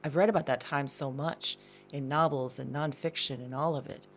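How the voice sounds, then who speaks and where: A woman's voice: low voice, steady tone, normal speed, slight background noise. A woman playing a shaken, thoughtful diarist in an intimate audio drama monologue, speaking hesitantly in broken, reflective phrases.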